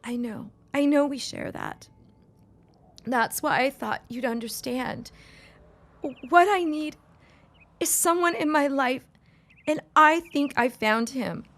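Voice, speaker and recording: Period drama ingenue: fragile, needy, earnest, high slow voice, plaintive. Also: female voice, a bit bright, exaggerated voice. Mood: pleading. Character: anxious, fragile young woman afraid of being left. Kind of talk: period audio drama acting.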